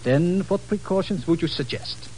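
An actor playing a formal, skeptical colonel in an old-time radio drama. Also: male voice, high voice, lively intonation, darkish tone, slightly rough. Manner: formal, courteous, measured wording. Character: formal, skeptical Portuguese colonel in charge of security. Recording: slightly noisy vintage radio recording.

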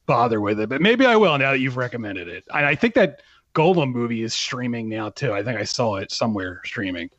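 A man's voice: mid-range voice, big pitch swings, brisk, a bit bright, no echo, dull audio. A man chatting casually as a podcast co-host, offhand and joking. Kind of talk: casual chat.

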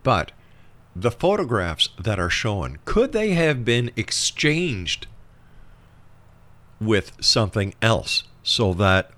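A man's voice: lowish voice, sing-song, slow pace, fairly bright tone. A man hosting a talk interview, putting probing questions emphatically and conversationally to a guest he addresses as a friend. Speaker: interview show host.

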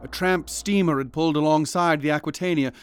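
An actor playing an earnest, haunted ship's doctor in a radio drama, speaking in a formal, old-fashioned manner. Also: male voice, a little high, brisk, smooth, natural voice. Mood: grave, recounting. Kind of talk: radio drama acting and narration.

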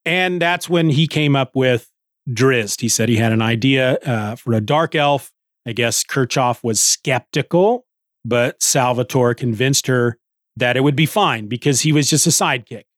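A middle-aged man talks casually as a solo podcast host, in a mid-pitched voice. He rambles conversationally, working out his thoughts as he goes. The recording is dry, with very little room sound. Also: unhurried, dry recording. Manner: rambling, conversational, thinking aloud. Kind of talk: casual solo book review chat.

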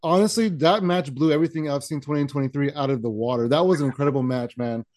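A man's voice: fairly high pitch, fast, darkish tone. A man hosting a wrestling podcast, asking questions in a casual, enthusiastic way with lots of "like" and "man". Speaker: podcast host.

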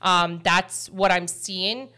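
A woman's voice: lowish voice, slow pace. A middle-aged woman hosting a mortgage podcast, chatting casually and telling stories with lots of fillers. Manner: chatty, animated storytelling with frequent fillers. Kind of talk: casual chat.

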